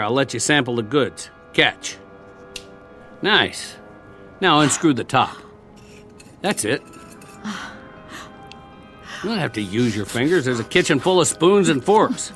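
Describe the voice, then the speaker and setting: Weary, sardonic American male war survivor for radio drama; lively pitch, coaxing, plain speech over music. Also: measured pace, pauses often. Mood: coaxing, gentle.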